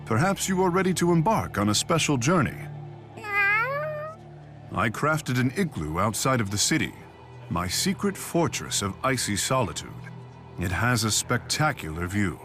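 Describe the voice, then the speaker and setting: An actor playing a stoic, proud exiled king in a video game, speaking in a formal, measured, deadpan manner. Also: natural voice. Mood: composed. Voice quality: deep. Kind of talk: scripted game dialogue. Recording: game music behind the voice.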